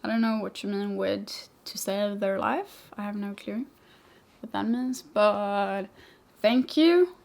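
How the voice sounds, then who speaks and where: A woman's voice: medium pitch, takes it slowly, gravelly, darkish tone. A young woman from Sweden with a mixed Swedish-English accent, answering viewer questions in a rambling, chatty vlog. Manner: chatty, rambling, many "like" fillers, laughs easily. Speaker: vlogger, motorcycle rider. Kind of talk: casual Q&A chat.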